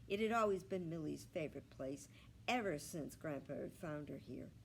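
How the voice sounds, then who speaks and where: A woman reading a children's story aloud in an expressive narrating style, as a storytime reader. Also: low-pitched, moderate pace, slight background noise.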